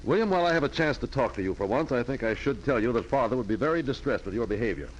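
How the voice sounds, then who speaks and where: An actor playing a stern, responsible, proper brother in a 1940s radio drama. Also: male voice, medium pitch, lively intonation, racing, close to an everyday voice. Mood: reproachful. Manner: proper, reproachful. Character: stern, responsible brother running the family brokerage. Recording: muffled, hissy 1940s radio recording.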